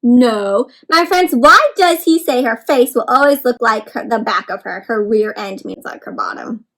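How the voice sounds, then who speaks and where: A woman with a high voice reads a picture book aloud to young children. She speaks like an encouraging teacher, talking straight to her listeners, asking them questions and explaining words simply. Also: lively intonation, normal speed, a bit bright. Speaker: read-aloud storyteller for children.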